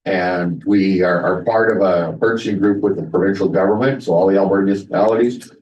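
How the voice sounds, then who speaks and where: A man chairing a town council meeting, brisk and matter-of-fact. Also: low-pitched, darkish tone, far from the mic, lo-fi sound.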